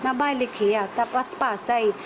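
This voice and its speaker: Female witness, Waray accent, high voice, unscripted account, clearly noisy on-location recording. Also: measured pace. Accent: Waray, Philippines. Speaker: interviewed witness. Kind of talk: spontaneous interview account. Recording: noisy on-location interview sound.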